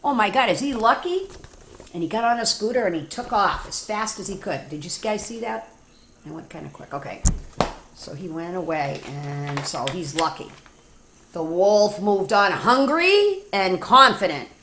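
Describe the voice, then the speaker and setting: A woman with an American accent reading a story aloud to children, animated and informal, with chatty asides. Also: lowish voice, normal speed, a little hiss or hum. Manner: animated, informal, talks directly to young children. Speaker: teacher reading stories to children.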